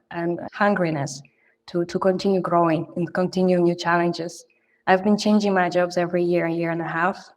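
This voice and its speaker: A woman's voice: lowish voice, darkish tone. A woman from Poland, a native Polish speaker, talking earnestly in a podcast interview about her career, often restarting her words. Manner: earnest, reflective, frequent restarts. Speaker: guest, finance leader.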